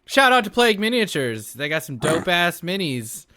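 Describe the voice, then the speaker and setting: Bright, forceful man chatting casually. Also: high voice, sing-song, moderate pace. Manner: sweary, slangy, enthusiastic. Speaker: podcast host and player. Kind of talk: casual chat.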